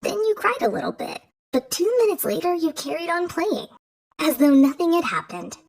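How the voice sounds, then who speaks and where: A woman's voice: very high voice, big pitch swings, normal speed, gravelly, darkish tone, pitched above the performer's own voice, heavily acted. A woman voicing a tiny, cheerful magical girl with a sweet, small voice who talks frankly and kindly. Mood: reassuring. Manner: chirpy and reassuring.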